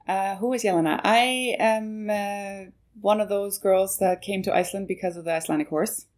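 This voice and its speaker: A woman's voice: medium pitch, steady tone, moderate pace, darkish tone. A woman who grew up in Canada and whose first language is German, giving hesitant interview answers as a project manager, with many fillers and restarts. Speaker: guest, manager of a marketing project.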